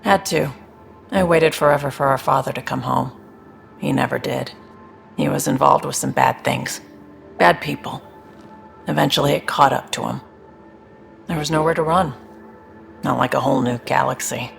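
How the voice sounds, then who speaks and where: A man's voice: medium pitch, steady tone, normal speed, vocal fry, pauses often, a bit bright, soft background music. An actor playing a guarded, world-weary man in a video game, plain-spoken and wry. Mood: regretful. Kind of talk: naturalistic video game acting.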